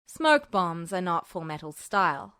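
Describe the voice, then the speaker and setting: Woman voicing a bored male officer, dry, unimpressed, lowered pitch, close dry sound. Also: big pitch swings, a little slow, pushed and bright, clear, resonant tone, no echo, heavily acted. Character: bored, reasonable male military superior. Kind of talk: podfic reading of fan fiction.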